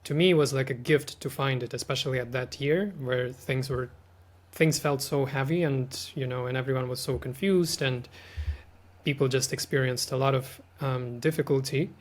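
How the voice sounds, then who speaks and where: A man's voice: medium pitch, moderate pace. A man, talking as a podcast guest in a thoughtful, hesitant, casual way. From Ukraine. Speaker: podcast guest, artist and language teacher. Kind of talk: casual reflective conversation.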